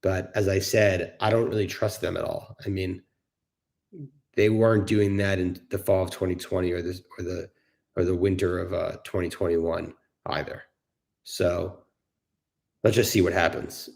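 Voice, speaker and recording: A man's voice: sits low, little pitch movement, normal speed, creaky, darkish tone. A middle-aged man giving casual, opinionated market commentary as an investor hosting a discussion, explaining his points with emphasis. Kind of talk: casual off-the-cuff market commentary with audience questions.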